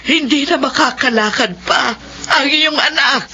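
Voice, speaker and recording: A woman's voice: high-pitched, normal speed. A woman playing a conciliatory older aunt, a concerned and soothing family peacemaker in a Filipino radio drama. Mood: grave. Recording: muffled, noisy radio broadcast.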